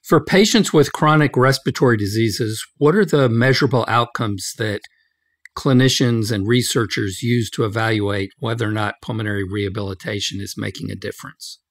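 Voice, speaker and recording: A man hosting a medical podcast interview, speaking in a formal, measured, scripted way. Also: fairly low pitch. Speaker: podcast host, medical journal editor.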